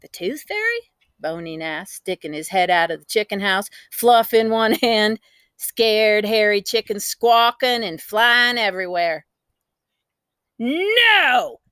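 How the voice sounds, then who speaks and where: A woman reading a children's story aloud in an expressive, dramatic, folksy storytelling style. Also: medium pitch, unhurried, clear, resonant tone, dry recording, clean studio sound. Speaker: storybook reader.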